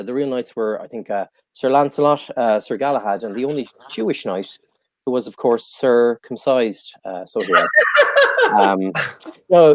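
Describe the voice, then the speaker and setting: A man doing a deadpan stand-up set from home. He halts often and fills his lines with ums and uhs. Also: medium pitch, no echo. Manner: halting, full of ums and uhs, self-deprecating. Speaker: stand-up comedian. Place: at home, on a live online show.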